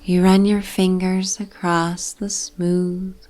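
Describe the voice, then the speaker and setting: A woman narrating a bedtime sleep story in a soft, breathy, half-whispered, soothing ASMR manner. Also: on the low side, level tone, slow, a little hiss or hum.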